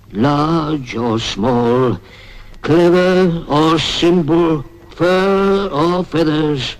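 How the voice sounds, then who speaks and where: Slow, solemn old boar orator. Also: male voice, fairly high pitch. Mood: solemn warning. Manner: grave, declamatory, deliberate dramatic pauses. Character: aged dying boar, solemn revolutionary farm orator. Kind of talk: 1950s animated film oratory. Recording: noisy 1950s film soundtrack.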